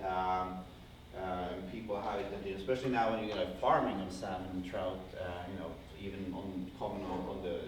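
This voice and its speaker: A man with a Norwegian accent gives an informal slide lecture to an audience, speaking hesitantly and often rephrasing himself as he rambles along. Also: fairly low pitch. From Norway. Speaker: conservation project founder giving a guest lecture. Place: indoor university lecture room. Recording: very echoey room, distant mic, faint noise.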